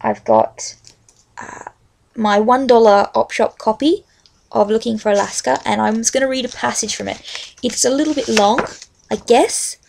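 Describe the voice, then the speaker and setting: A young adult woman with an Australian accent, speaking as a vlogger in a halting, unscripted-sounding way. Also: on the high side, vocal fry, a little mellow, a little hiss or hum. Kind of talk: casual rambling chat with a read-aloud book passage.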